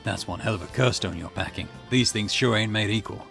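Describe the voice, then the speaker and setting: A man acts out a gruff, hard-boiled detective in a visual novel. The voice is fairly low, the manner blunt, slangy and confrontational. Music plays underneath. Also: fairly quick, a bit bright. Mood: blunt, accusing.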